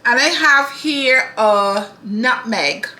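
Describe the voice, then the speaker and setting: A woman's voice: a little high, takes it slowly, bright, forceful tone. A woman from Barbados, hosting a home cooking demonstration in a chatty, affectionate way that often checks in with the viewer. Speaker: cooking channel host. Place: home kitchen. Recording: echoey kitchen with dishes clattering.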